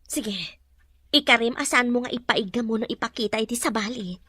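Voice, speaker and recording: A woman's voice: on the high side, brisk, sharp tone, breathy edge, no room sound. A woman with an Ilocano accent playing a concerned mother cautioning her young daughter in a radio drama. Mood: concerned, firm.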